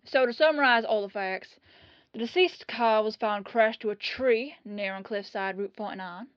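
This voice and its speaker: A woman playing a dogged police detective in an audio roleplay, questioning in a folksy, falsely casual way with pauses. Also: mid-range voice, clean tone, no room sound, lo-fi sound, close to an everyday voice. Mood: businesslike probing. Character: dogged female police detective, casually probing, quietly suspicious.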